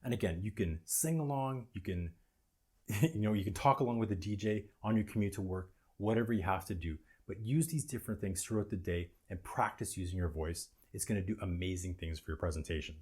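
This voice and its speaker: A man coaching viewers on presentation skills in an instructional talk, speaking emphatically in short phrases with deliberate pauses. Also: low voice, a little mellow, slightly rough.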